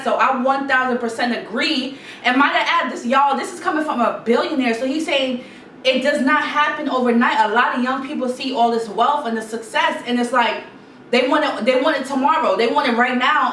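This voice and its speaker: A young woman with a Guyanese accent, chatting casually to camera in a sit-down advice vlog, reading quotes and repeating points for emphasis. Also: a little high. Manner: animated, chatty, repeats herself, reads quotes aloud. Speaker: YouTube vlogger. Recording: roomy echo, slightly distant mic, faint noise.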